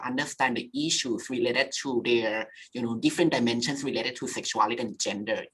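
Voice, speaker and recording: A woman's voice: very deep voice, a bit bright, breathy edge, rough recording. A woman from Thailand speaking on a webinar panel over a video call, searching for words and filling with "you know" and "like".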